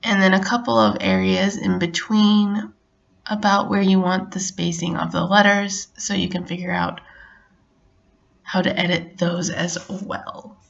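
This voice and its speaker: A middle-aged woman narrating a casual how-to as a craft tutorial host, explaining step by step in a relaxed way. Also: medium pitch, unhurried, creaky, muffled recording.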